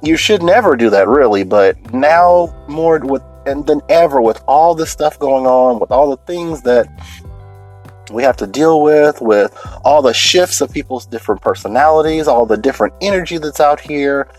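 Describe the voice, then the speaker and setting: A deep-voiced man talking alone in a late-night podcast from inside a parked car, sniffling a little as he gets over a cold. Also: expressive, moderate pace, a little mellow, soft background music, poor audio. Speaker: podcast host.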